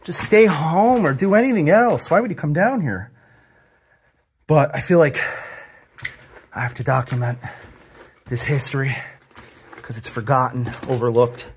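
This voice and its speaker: A man's voice: fairly high pitch, measured pace, pauses often, a little hiss or hum. A man narrating his own exploration of a cramped abandoned mine, enthusiastic and explaining what he sees as he goes.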